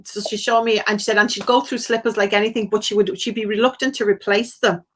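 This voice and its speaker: A woman's voice: medium pitch, steady tone, quick pace. A British woman hosting a live phone-in as a psychic medium, chatting casually through a reading and often checking that the caller understands.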